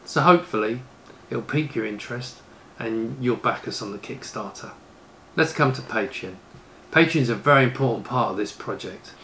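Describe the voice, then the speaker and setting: A man's voice: medium pitch, moderate pace, bright, forceful tone. Man in his fifties, noisy recording. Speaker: YouTube presenter and computer case maker. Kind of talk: casual retro computing vlog with product pitch. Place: indoor rooms with shelves of retro computers.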